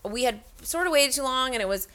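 A woman telling stories casually and comically, with slangy, profane talk and animated quoting of other people. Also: sits high, fairly quick.

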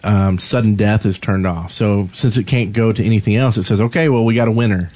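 Low-voiced, teasing man. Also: a little fast, darkish tone. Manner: teasing, joking. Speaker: podcast co-host. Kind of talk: casual gaming podcast banter.

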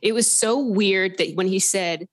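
A woman from Southern California calling in to a podcast, telling her story in an animated, rambling way full of filler words. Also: medium pitch, measured pace. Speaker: caller sharing a paranormal story. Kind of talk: casual storytelling on a phone-in podcast.

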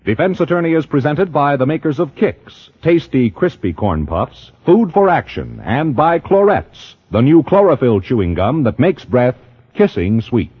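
A man's voice: medium pitch, big pitch swings, moderate pace, slightly rough, lo-fi sound. A man announcing in scripted 1950s radio style, with formal, emphatic delivery on a vintage broadcast.

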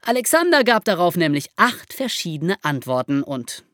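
Woman podcast host telling history stuff, super lively intonation, explains it simply, dry sound. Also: lowish voice, normal speed, no room sound. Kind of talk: lively explanatory storytelling in conversation.

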